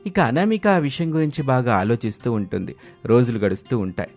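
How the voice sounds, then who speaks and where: A man's voice: medium pitch, big pitch swings, a little fast, darkish tone. A man narrating a scripted family story as its storyteller. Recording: music behind the voice.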